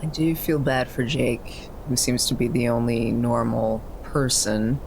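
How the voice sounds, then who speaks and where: A man's voice: steady tone, measured pace. A man talking casually as a tabletop game player, thinking aloud in a hesitant way. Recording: clear hum and rumble behind.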